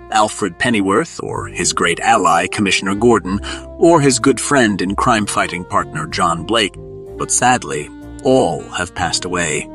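A man's voice: lowish voice, a bit bright. A man giving dramatic scripted narration as the narrator of an audio drama.

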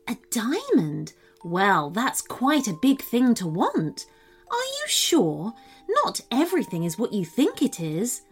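A calm, wise female unicorn from a storybook, played by a woman reading aloud. Her voice is fairly high and swings widely in pitch as she patiently questions and gently challenges. Faint music plays underneath. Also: slow, husky, full character voice. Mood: measured, cautious.

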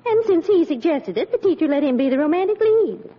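Lively, fond radio-comedy mother. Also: very high voice, a little fast. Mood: amused, fond. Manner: chatty storytelling. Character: fond, amused mother telling a family story. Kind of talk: 1940s radio comedy acting. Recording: muffled old radio recording, audience.

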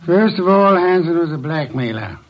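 Commanding old-time radio sleuth, formal precise diction, fairly high voice, dull vintage sound. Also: male voice, lively intonation, moderate pace. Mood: stern, deliberate. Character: imperious, deliberate male detective, a mastermind unmasking a killer.

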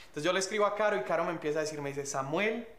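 A man's voice: fairly high pitch, rapid-fire, a bit bright, reverberant. A young man telling a shared love story in an easygoing, affectionate way. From Colombia. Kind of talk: casual storytelling.

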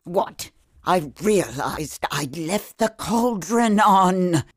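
A woman's voice: lowish voice, animated, very slow, no echo, heavily acted. A woman playing a haughty, dry-witted witch storyteller in a comic fantasy audio drama. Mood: indignant. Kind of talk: scripted comic fantasy banter.

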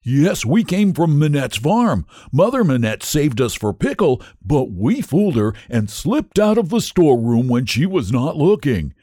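A man's voice: fairly high pitch, sing-song, a little slow, few pauses, dark tone, dry recording, clean studio sound, pitched above the performer's own voice. A man voicing a very stout, chatty cabbage in a children's story, exclaiming breathlessly. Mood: chatty amusement.

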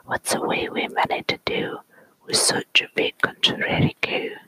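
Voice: measured pace, bright, forceful tone. A storyteller voicing a wee farm boy from a folk rhyme, coaxing and exasperated, whispered with a put-on Scottish accent. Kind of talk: whispered folk tale reading.

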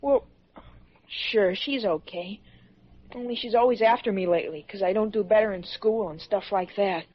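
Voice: mid-range voice, moderate pace, breathy edge, lightly acted. An actor playing an earnest, slightly hurt schoolboy in an old-time radio drama, with plain, slangy, boyish talk. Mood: defensive, sullen. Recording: muffled vintage radio recording.

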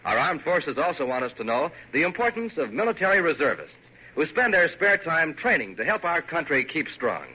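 A man's voice: a little high, sing-song, normal speed, breathy edge. A man with an American accent reading a scripted public service message as a 1940s radio announcer. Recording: muffled old radio broadcast.

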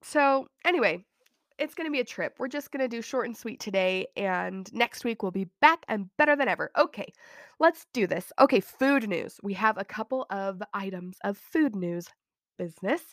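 A woman's voice: medium pitch, lively intonation, moderate pace, no echo, studio quality. A woman in her thirties from Utah hosting a casual solo podcast, chatty and self-deprecating with frequent giggles, her voice thickened by a cold. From Utah, USA. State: has a cold. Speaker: podcast host.

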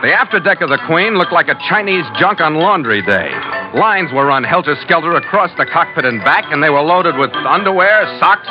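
A man playing a gruff, dry-witted ship's skipper and narrator in an old-time radio adventure, with a wry, clipped delivery. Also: on the high side, expressive, brisk, close to an everyday voice. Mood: wry narrating. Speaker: lead actor and narrator. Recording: muffled vintage radio recording with music.

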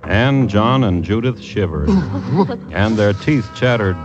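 A man's voice: fairly low pitch, big pitch swings, slow. A man narrating a classic radio drama as a wry, darkly droll storyteller. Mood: wry, amused. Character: wry storyteller narrator of a grim country tale. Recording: dull old radio recording. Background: music underneath.

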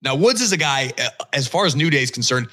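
A man hosting a wrestling talk podcast, his voice bright and forward. He talks with punchy emphasis and casual swagger, leaning into his opinions. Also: mid-range voice, fast talker. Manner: energetic, emphatic, swears casually. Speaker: podcast co-host.

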